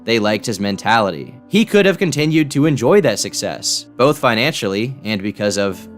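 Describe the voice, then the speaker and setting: A man's voice: on the low side, very animated, normal speed, faint music behind. A man in scripted documentary narration, a YouTube commentator with a blunt, profane, sardonic way of talking.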